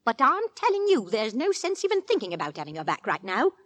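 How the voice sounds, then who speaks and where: A woman's voice: a little high, wildly swooping pitch, fast, a bit bright. An actress playing an anxious, scrimping working-class landlady, fussy and colloquial, in 1940s radio drama style. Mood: fretful, exasperated. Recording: vintage 1940s radio broadcast recording.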